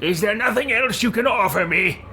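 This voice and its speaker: A man playing a curious, earnest fantasy game adventurer, speaking in a deliberate, questioning way. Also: sits high, pitched above the performer's own voice. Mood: probing. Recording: steady background noise.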